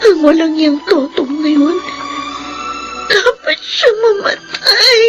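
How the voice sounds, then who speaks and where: Very high, tearful young woman. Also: animated, slow, music in the background, exaggerated voice. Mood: sobbing rage. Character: anguished, bitter young woman, despairing and shamed. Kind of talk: Filipino radio melodrama acting.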